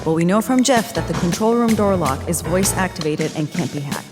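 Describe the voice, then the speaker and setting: A woman playing a brisk, sarcastic female spy leader in a comedy audio drama. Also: on the low side, expressive, music in the background, lightly acted. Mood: briefing. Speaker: team leader agent McGrath.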